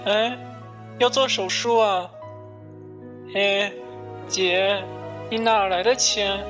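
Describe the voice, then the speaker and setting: A woman's voice: mid-range voice, fairly even intonation, slow, over music, close to an everyday voice. A woman voicing a sick, cheeky younger brother in an audio drama. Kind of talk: Chinese audio drama acting.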